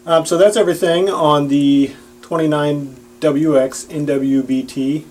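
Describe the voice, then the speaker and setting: A man's voice: on the high side, steady tone, measured pace, a little mellow. A man giving a casual, plain-spoken and explanatory product demonstration.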